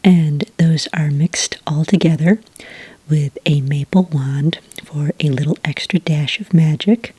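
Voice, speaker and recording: Breathy, whispering cafe witch played by a woman. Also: low voice, a little slow, dry recording, exaggerated voice. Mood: patient, gentle. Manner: patient, gentle, explanatory. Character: friendly helpful witch serving at a magical cafe. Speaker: cafe server taking orders. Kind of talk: soft-spoken whispered ASMR roleplay.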